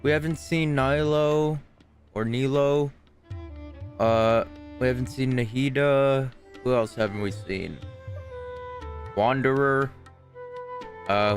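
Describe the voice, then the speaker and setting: A male game streamer with a mid-range voice rambles casually as he plays. He thinks aloud, and soft game music runs behind him. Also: mid-range voice. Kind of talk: casual gameplay chat. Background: video game music and dialogue.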